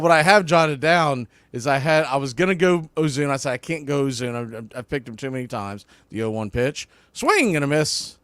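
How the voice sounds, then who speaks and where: Guy doing baseball play by play, higherish voice, homer fan style, folksy, really dry sound. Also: fairly bright tone, no echo. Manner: folksy, partisan home-team enthusiasm. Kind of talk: live sports play-by-play commentary.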